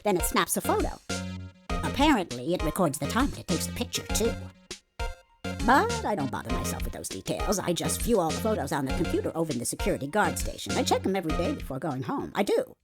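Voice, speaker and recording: A woman's voice: on the low side, huge pitch swings, quick pace. A woman voicing a gossipy, folksy, opinionated elderly security guard in a video game. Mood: matter-of-fact, chatty. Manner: folksy, gossipy, ungrammatical turns of phrase. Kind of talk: scripted game character voice-over. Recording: background music throughout.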